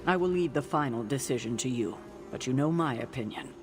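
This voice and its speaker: A woman's voice: extremely low pitch, animated, over music. A firm mentor witch. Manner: firm, brisk, commanding. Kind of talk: video game cutscene acting.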